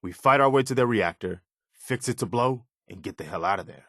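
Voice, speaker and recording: A man voicing an earnest, loyal militia officer in a video game, sincere, measured and plain-spoken. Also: mid-range voice, a bit bright, no echo, clean studio sound, close to an everyday voice. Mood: determined, briefing. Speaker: voice actor. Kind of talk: video game dialogue acting.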